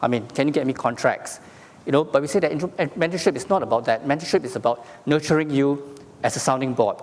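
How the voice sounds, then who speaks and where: A man's voice: medium pitch, fast talker, long reverb tail. A man from Singapore giving a conference talk, explaining with frequent fillers and asking "all right?" after his points. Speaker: conference speaker. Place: conference stage in a large hall.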